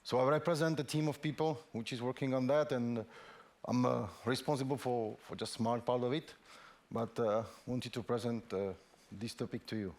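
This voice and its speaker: A man speaking English presents a technical talk on a conference stage. His pitch sits in the middle for a man, and he works through his points haltingly, repeating words and filling pauses. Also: fairly even intonation, darkish tone. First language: Slovak. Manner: hesitant, frequent restarts and fillers.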